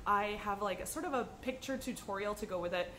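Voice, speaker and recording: A woman's voice: medium pitch, brisk, bright, forceful tone, a little hiss or hum. A woman hosting a podcast, chatting casually to camera in an upbeat, rambling way, slightly sniffly from a cold. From United States. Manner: chatty and upbeat with frequent ums. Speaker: podcast host.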